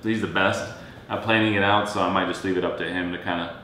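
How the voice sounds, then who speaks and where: Echoey-voiced man in his thirties, relaxed. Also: fast. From Serbia. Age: 30s. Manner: relaxed, rambling with fillers. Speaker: co-host. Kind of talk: casual chat. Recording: very echoey room, faint rumble.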